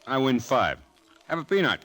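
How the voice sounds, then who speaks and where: An actor playing a smooth, sly high-rolling gambler in an old-time radio drama, casual and understated in his confidence. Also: very animated, normal speed, a bit bright.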